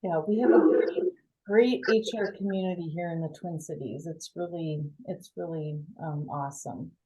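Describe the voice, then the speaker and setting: A woman's voice: deep voice, moderate pace, warm tone, low-quality recording. A woman hosting a committee meeting on a video call, calling on each member by name. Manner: friendly, calls on people by name. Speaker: meeting host.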